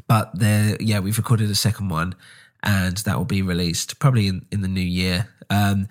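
A man with a slightly low voice talks through a solo podcast update in a loose, rambling way. He sounds nasal from a cold, and he stumbles over restarts and fillers. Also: level tone, normal speed. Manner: rambling, frequent ums and restarts. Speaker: podcast host.

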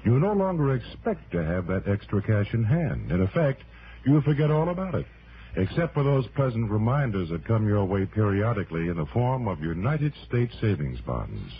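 A dull vintage radio recording with faint noise carries a male announcer. His voice is fairly low and swings widely in pitch as he reads a scripted 1960s public service message. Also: very animated, moderate pace.

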